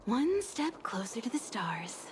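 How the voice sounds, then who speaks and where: A woman's voice: fairly high pitch, big pitch swings, slow pace, soft background music. A woman voicing a haughty, cerebral female scholar's battle lines in a video game.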